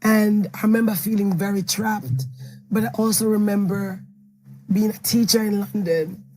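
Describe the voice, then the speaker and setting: A hoarse woman author with a Jamaican accent, telling long, rambling stories and correcting herself as she answers questions. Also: medium pitch, fairly even intonation, normal speed, a little mellow, faint music behind. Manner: rambling, self-correcting storytelling. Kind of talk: interview answer at a book event.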